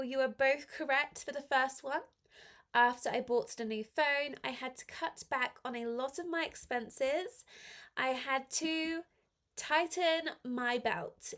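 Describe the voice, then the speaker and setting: A woman with a fairly high voice that carries a slight cold. She teaches a live online language class, reading exercise items out step by step and chatting to learners with plenty of encouragement. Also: a bit bright. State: slight head cold affecting the voice.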